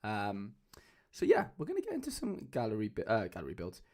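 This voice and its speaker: A young man with a Cardiff accent chatting casually as a livestream host, loose and rambling with lots of fillers, speaking into a mic on a boom arm at home. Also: wildly swooping pitch, fairly quick, darkish tone. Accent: Cardiff Welsh. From Wales. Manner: chatty, loose, many fillers, calls viewers lads.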